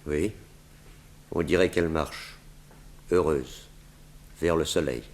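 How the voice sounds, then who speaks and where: Very deep, subdued entranced man. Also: slow pace, a little mellow. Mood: entranced, submissive. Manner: subdued, trance-like, obedient echoing. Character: entranced, submissive male sculptor, a murderous puppet of his master. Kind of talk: 1960s French radio drama acting.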